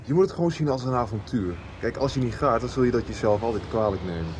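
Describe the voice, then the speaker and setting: A man's voice: medium pitch, lively intonation, fast, a little mellow, slight background noise, lightly acted. An actor playing a blunt, enthusiastic and encouraging young male friend in a radio soap. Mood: blunt, urging. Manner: blunt, urging.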